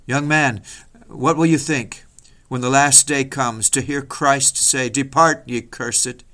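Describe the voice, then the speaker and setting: A man's voice: medium pitch, steady tone, slow, bright, forceful tone. Solemn man preaching a dramatic sermon. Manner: solemn, declamatory, rhetorical. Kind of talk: dramatic hellfire sermon, old-fashioned scripted oratory.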